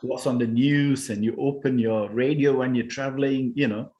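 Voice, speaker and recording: A man's voice: medium pitch, fairly even intonation, normal speed, darkish tone, dull audio. Originally from Singapore, this man speaks as a guest on a video call in a mid-range voice. He talks in a hesitant, searching way, restarting phrases and leaning on "you know" while recounting his path. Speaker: guest, spiritual healer and meditation teacher. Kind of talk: casual interview talk over a video call.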